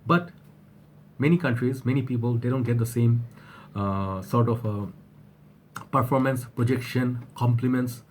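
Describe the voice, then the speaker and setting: A man with a flu-husky voice, talking casually to his viewers and often rambling and restarting. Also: mid-range voice, a little slow, pauses often, a little hiss or hum. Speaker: fragrance reviewer. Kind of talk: casual vlog talk to camera.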